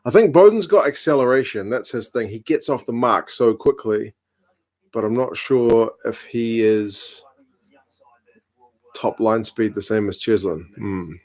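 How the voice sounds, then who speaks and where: A man, hosting a casual live stream from home, reading out viewer comments with a relaxed, chatty manner and dry humour. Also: mid-range voice, measured pace, low-quality recording. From New Zealand. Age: 30s.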